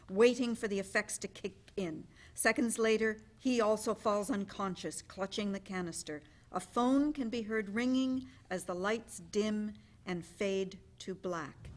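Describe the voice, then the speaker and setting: A woman reading stage directions as scripted narration at a dramatic play reading. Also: mid-range voice.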